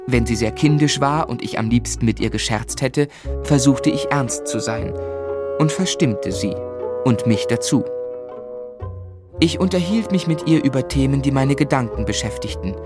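Male actor as a young writer telling his story, earnest, measured, articulate, mid voice, music under it, radio play. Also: medium pitch, animated, natural voice. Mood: wistful, tender. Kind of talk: literary first-person narration in German radio drama.

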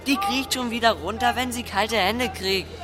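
A woman's voice: a little high, moderate pace, lightly acted. Woman doing a sly 13 yr old boy in a radio play, cold and pushy, music under it. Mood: callous, urgent. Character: callous, cunning thirteen-year-old boy, coolly lying. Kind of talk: German radio play acting.

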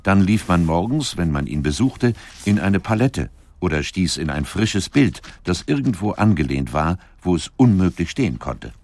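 A man reading scripted documentary narration in a measured, articulate storytelling manner. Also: deep voice, big pitch swings, a little mellow, no room sound.

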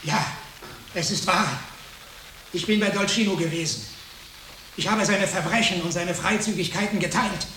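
Desperate high-voiced heretic monk. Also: male voice, normal speed, long pauses, pushed and bright. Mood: desperate confession. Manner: pleading, anguished. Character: cornered, desperate accused heretic monk confessing. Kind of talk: German radio drama acting. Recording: echoing hall, faint background noise.

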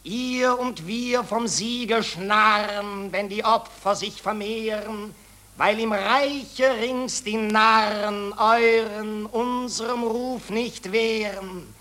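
A man playing a carrion raven in a radio drama, declaiming rhymed verse with smug, drawn-out relish. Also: high voice, fairly even intonation, bright, forceful tone, heavily acted. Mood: smug gloating. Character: carrion ravens, smugly gloating war profiteers.

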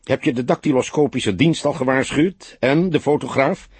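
A man's voice: mid-range voice, normal speed, husky, dry recording, voice pushed up, lightly acted. A man voicing a grumpy, weary older male detective in an audiobook, a veteran mentor who grumbles and speaks curtly. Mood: gruff, resigned.

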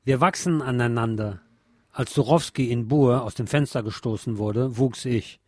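A man voicing a serious, reflective and conciliatory soldier in a story reading, speaking quietly. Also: mid-range voice, normal speed, no echo, lightly acted. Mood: earnest. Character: earnest, thoughtful, conciliatory male soldier comrade.

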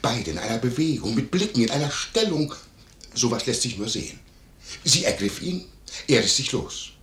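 An actor playing a nosy, greedy innkeeper in a classic stage comedy radio play, with an obsequious, gossipy, theatrical manner. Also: male voice, mid-range voice, very animated, moderate pace, fairly bright tone, echoey room. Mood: excited, gossipy.

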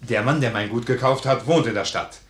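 A man's voice: medium pitch, brisk, lightly acted. An actor playing a loyal, hearty soldier in a 1950s German radio play, plain-spoken and deferential to his officer. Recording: roomy 1950s radio studio recording.

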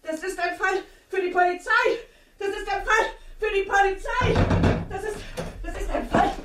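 A woman's voice: very high-pitched, steady tone, normal speed, very noisy. An actress playing a flustered, aggrieved landlady in a German radio drama, speaking in an agitated, insistent way. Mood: indignant, fed up. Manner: agitated, insistent, repeats herself. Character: indignant, flustered landlady at the end of her patience.

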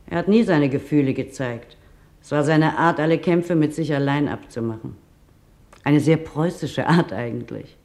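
A woman's voice: low voice, moderate pace, a little mellow. A plain-spoken female witness gives scripted testimony for a radio documentary. Her delivery is matter-of-fact and reflective. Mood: sober, reflective. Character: matter-of-fact woman office colleague, a witness.

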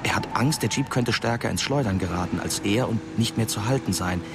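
Deep, gravelly man playing a grave narrator. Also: brisk, close to an everyday voice. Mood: grave, tense. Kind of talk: literary radio drama narration. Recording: loud car noise behind.

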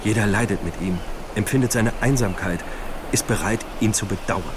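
An actor playing a solemn, poetic brother figure, speaking in measured literary radio drama monologue. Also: male voice, lowish voice, heavy background noise, lightly acted.

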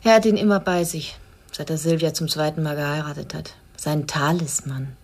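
An actress playing a cool, sceptical society woman in a German radio crime drama, speaking in a measured, pointed way. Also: deep voice, steady tone, a little mellow.

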